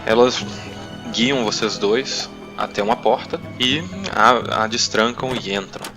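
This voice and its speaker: A young man with a Brazilian accent narrates a tabletop roleplaying game in a fairly low voice. He improvises, pausing to search for words, while music plays clearly behind him. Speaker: game master.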